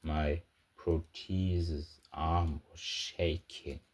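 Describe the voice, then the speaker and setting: A man playing a battle-shaken soldier who recounts a war in a halting, husky voice for an amateur sci-fi audio log. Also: very deep voice, fairly even intonation, a little mellow, full character voice. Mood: grim, shaken. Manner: halting, broken into short phrases with heavy pauses. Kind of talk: scripted first-person audio log narration, amateur sci-fi roleplay.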